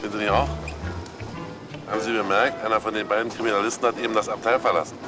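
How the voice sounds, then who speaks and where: A man acting a deep-voiced, oily, jovial gentleman. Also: level tone, fast, over music, lightly acted. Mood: chatty. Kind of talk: German radio drama acting.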